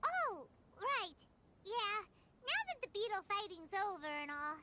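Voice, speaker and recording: A woman voicing a tiny childlike fairy sidekick in an anime game, squeaky, boastful and full of exclamations. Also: very animated, a little slow, very noisy, exaggerated voice. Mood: smug. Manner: squeaky, exclaiming, speaks of herself in third person. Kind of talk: anime video game voice acting. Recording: music behind the voice.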